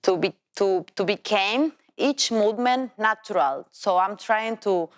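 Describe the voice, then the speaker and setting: A woman in her thirties with an Argentine accent, a Spanish speaker telling her story as a tango teacher in halting English with many restarts. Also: mid-range voice. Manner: non-native English, halting restarts, laughs while telling. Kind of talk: personal storytelling.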